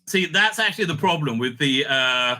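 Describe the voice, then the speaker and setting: A man hosting a casual, off-the-cuff ranking commentary, animated and thinking aloud with frequent asides. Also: on the high side, normal speed. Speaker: host ranking TV serials.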